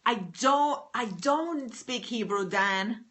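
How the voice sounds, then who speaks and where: Woman, writing coach, live-stream host, bright higher voice, bubbly and repetitive. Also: slow pace. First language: English. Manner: enthusiastic, repetitive, reads out viewer names. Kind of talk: live stream chatting with viewers.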